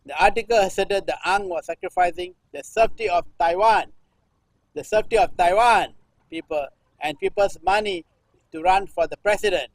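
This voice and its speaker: A man in his sixties from Singapore, a performance artist reading news text aloud in halting runs, restarting phrases and repeating a refrain. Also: sits high, no echo, rough recording. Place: temple-like cage at an art festival.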